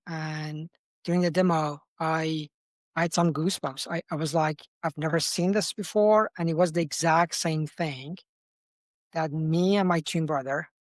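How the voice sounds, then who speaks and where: A man's voice: fairly high pitch, dry recording. Iranian-accented man, enthusiastic founder guest. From Iran. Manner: enthusiastic, frequent restarts and fillers. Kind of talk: podcast interview.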